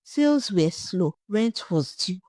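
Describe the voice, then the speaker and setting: A woman narrating a scripted folktale in a dramatic, suspenseful way, with short measured phrases. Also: mid-range voice, very animated, darkish tone, no echo, studio quality. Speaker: storyteller.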